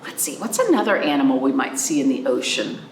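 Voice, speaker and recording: A woman hosting a children's storytime, reading aloud and chatting in a sing-song, animated way, addressing young listeners directly. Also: a bass voice, a little fast. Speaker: library storytime host. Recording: roomy, distant mic, faint background noise.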